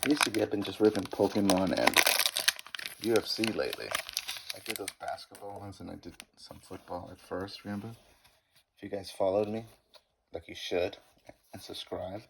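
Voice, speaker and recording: A man's voice: sits low, moderate pace, creaky, long pauses, a little hiss or hum, rough recording. A middle-aged man with a Boston accent, rambling casually while opening card packs and stumbling over card names. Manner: rambling, self-deprecating, fumbles card names. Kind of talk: casual pack-opening commentary.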